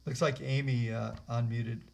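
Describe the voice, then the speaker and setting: Gravelly man hosting a webinar. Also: medium pitch, little pitch movement, moderate pace, a little mellow. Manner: rambling, lots of fillers and restarts. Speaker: host and trainer. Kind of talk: live webinar software walkthrough. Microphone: computer mic on a video call.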